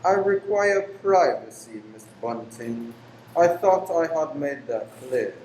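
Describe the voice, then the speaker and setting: A man's voice: fairly high pitch, animated, takes it slowly, darkish tone. Man acting stern, preachy lodger in echoey room. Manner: formal, archaic, preachy phrasing. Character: stern, pious, secretive male lodger preaching against pleasure. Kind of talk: radio play acting. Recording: echoey room with faint noise.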